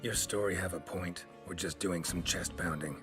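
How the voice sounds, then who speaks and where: A stoic monster hunter from a video game, acted by a man, with a low, gruff voice. He is terse and sardonic, delivering everything deadpan. Music is clearly audible behind him. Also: moderate pace, lightly acted. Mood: dry, sardonic. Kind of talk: understated video game character acting.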